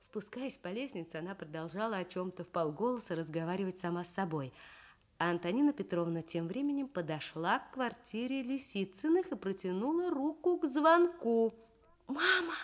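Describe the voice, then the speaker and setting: A woman narrating a children's story in an expressive, measured storytelling manner. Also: medium pitch. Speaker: story reader.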